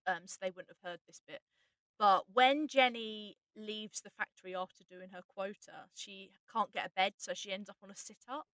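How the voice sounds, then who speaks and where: A woman chatting informally about audio drama as its writer and voice actor, explaining things in a casual, animated way. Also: medium pitch, moderate pace, no echo, rough recording. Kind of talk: casual behind-the-scenes chat.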